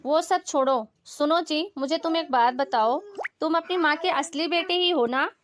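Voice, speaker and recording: A woman voicing a resentful, outspoken elder daughter-in-law who complains confrontationally in an animated family story. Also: high-pitched, normal speed, natural voice. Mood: angry, indignant.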